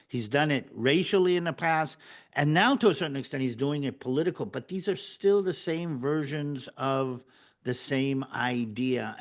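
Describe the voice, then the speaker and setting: Man, panel host, earnest, halting delivery. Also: medium pitch. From Israel. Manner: earnest, halting questions with repeated words. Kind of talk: casual panel discussion.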